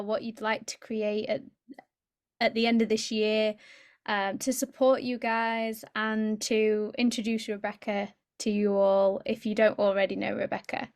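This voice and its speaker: A woman runs an online meetup over a video call, her voice sitting in an ordinary middle register. She talks in an upbeat, effusive way, warmly encouraging each person to speak in turn. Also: medium pitch. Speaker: online group host. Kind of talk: casual video-call introductions.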